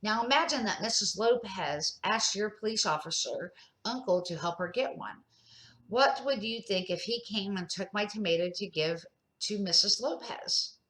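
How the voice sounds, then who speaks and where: A woman's voice: medium pitch, moderate pace, fairly bright tone, close to an everyday voice. Woman reading as a patient, earnest older neighbor man. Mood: patient teaching. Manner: patient, explanatory. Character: kindly, earnest older male neighbor teaching children.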